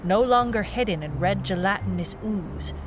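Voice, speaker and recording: A woman's voice: mid-range voice, expressive, normal speed, close to an everyday voice. Actress as hardboiled lady detective, noir audio drama, wry and defiant, music underneath. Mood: brooding narration. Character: wry, defiant young female noir private eye. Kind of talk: noir audio drama, first-person narration and dialogue. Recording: music behind the voice.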